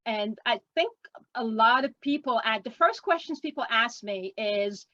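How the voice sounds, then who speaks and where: Woman in her sixties with a French Canadian accent, fairly high voice, dry lo-fi call audio, chatty and rambling. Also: lively intonation, measured pace, bright, forceful tone, no echo. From Quebec, Canada. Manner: chatty, rambling, with frequent ums. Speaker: guest artist. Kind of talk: casual interview chat. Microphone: video call. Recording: dull lo-fi video call audio.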